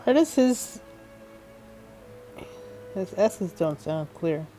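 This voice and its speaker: Low-voiced woman, casual gaming commentary. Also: animated, extremely slow, mellow. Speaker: game player commenting on her playthrough. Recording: faint game music behind.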